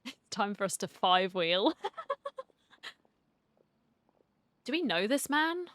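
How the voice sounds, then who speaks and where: A woman's voice: mid-range voice, very animated, very slow, a bit bright, no echo. A woman giving casual reaction commentary as she streams a game.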